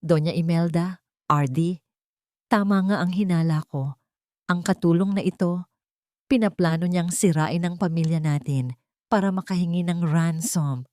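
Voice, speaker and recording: A woman's voice: lowish voice, normal speed, pauses often, warm tone, no echo, clean studio sound, lightly acted. Woman putting on a taunting Filipina villainess. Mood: accusing. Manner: taunting, accusatory. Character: triumphant, scheming female villain accusing others.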